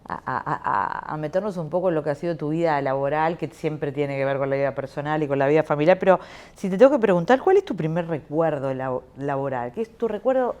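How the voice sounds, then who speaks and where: Very low-voiced Uruguayan woman host. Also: expressive, fast talker, a little mellow, highs cut off. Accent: Uruguayan Spanish. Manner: friendly, chatty questions with small stumbles. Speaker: interview host. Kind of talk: conversational interview.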